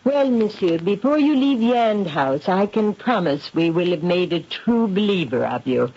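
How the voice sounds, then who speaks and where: A woman's voice: mid-range voice, animated, measured pace, warm tone, slightly rough. An actress playing a self-assured aristocratic lady psychic, speaking in a dramatic, imperious manner in an old radio drama. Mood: challenging. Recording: muffled 1970s radio recording.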